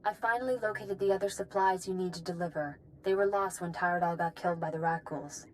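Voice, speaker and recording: A woman's voice: lowish voice, fast, a little hiss or hum, close to an everyday voice, low-quality recording. A woman voicing a businesslike contact who briefs her captain in a video game, in a matter-of-fact manner.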